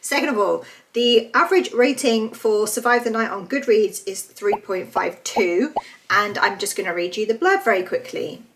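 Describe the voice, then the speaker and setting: A woman's voice: mid-range voice, lively intonation, normal speed, noisy background. A young woman vlogging a casual, enthusiastic book review with lots of fillers, a little congested from hay fever. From United Kingdom. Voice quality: slightly stuffy, nasal. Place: bright room at home.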